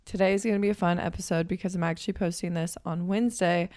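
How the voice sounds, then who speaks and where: A hoarse young woman in her twenties hosting a podcast in a chatty, upbeat way, her voice raspy from just waking up. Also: lowish voice, steady tone, quick pace, darkish tone. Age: 20s. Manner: chatty and upbeat, with frequent fillers. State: hoarse from waking up and a weekend of talking. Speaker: podcast host and personal trainer. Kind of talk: casual chat.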